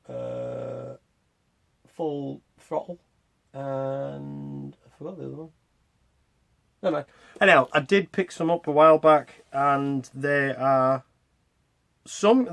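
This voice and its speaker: A man's voice: medium pitch, creaky. A man hosting a game review with casual, rambling, self-deprecating commentary as he plays. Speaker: video game reviewer and channel host.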